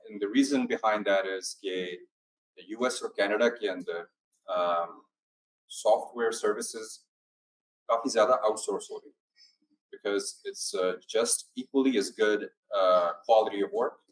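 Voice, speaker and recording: A man from Pakistan gives a seminar talk, mixing languages as he explains. His voice sits fairly low, and his pace is unhurried, with many fillers between points. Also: low-quality recording. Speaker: immigration firm speaker. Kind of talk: seminar presentation.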